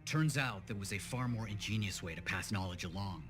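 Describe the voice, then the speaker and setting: A man's voice: lowish voice, a little fast, a bit bright, faint music behind, close to an everyday voice. A man playing an eccentric, pompous scholar in a sci-fi video game, speaking with theatrical precision. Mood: enthusiastic, mysterious. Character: eccentric, dramatic male scholar researcher. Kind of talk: acted game dialogue.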